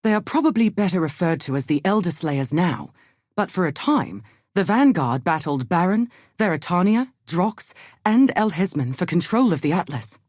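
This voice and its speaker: A measured, low-voiced scholarly game heroine. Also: female voice, very animated, darkish tone, no room sound, clean studio sound. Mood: earnest, hopeful. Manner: measured, articulate, earnest. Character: thoughtful, cautious, scholarly female exile and hideout keeper. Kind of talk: scripted video game character dialogue.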